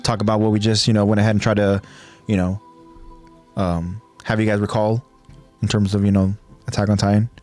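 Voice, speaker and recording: A man's voice: on the low side, little pitch movement, a little fast, darkish tone. A man hosting a podcast in a casual, informal way, with a slightly nasal voice. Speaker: podcast host. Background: background music.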